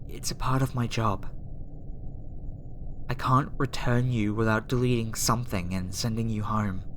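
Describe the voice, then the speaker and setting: A woman voicing a lonely, tender alien girl scientist in an ASMR roleplay, hesitant, halting and apologetic. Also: rumbling low. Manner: hesitant, soft-hearted, halting. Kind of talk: ASMR roleplay audio drama. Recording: clear background noise, ambience.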